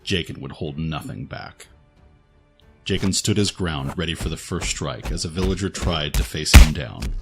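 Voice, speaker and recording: Deep-voiced man narrating dramatic fiction. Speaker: story narrator. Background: faint background music.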